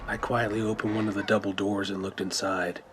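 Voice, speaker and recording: A man reading scripted first-person narration for a horror radio play in a measured storytelling manner. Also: on the low side, level tone. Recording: music bed under narration.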